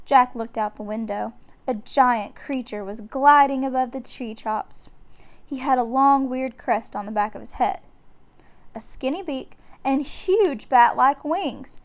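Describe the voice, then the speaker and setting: A woman reading a children's bedtime story aloud in a deliberate, expressive way. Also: high-pitched. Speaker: storybook reader.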